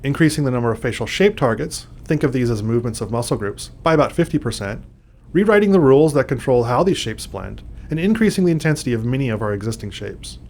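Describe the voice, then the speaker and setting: A man's voice: medium pitch, animated, fast talker, darkish tone. A man delivering scripted technical commentary as a game developer.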